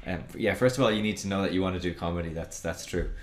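A man with a low voice hosts a podcast, asking questions in a thoughtful, slightly hesitant way. His voice rings out in a roomy, echoey space. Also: racing. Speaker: podcast host, teacher. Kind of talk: casual interview chat. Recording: roomy echo.

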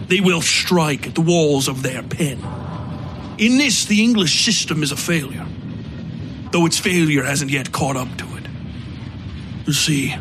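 A man voicing a pompous, scornful American gentleman who rants theatrically in a dark audio story. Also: fairly high pitch, lightly acted. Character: ranting, scornful, drunken American man of wealth, a bigoted theorist. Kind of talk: dramatised audiobook dialogue.

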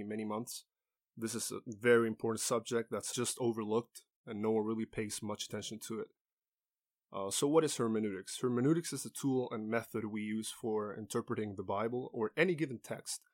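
A man hosts a podcast on his own, teaching in an earnest, explanatory way. His voice sits on the low side. He occasionally restarts a phrase as he works through his points. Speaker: podcast host. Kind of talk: solo teaching talk.

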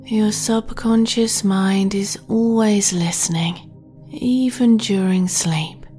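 Female sleep meditation host; breathy half-whisper, very unhurried, soft music bed. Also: medium pitch, fairly even intonation. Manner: slow, soothing, gentle delivery.

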